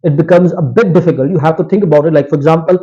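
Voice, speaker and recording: A man from Pakistan with a Pakistani accent, a native speaker of Potohari Punjabi and Urdu, presenting research informally in halting, self-correcting second-language English. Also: a little high, mellow, muffled recording. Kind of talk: informal lecture, partly reading research aloud.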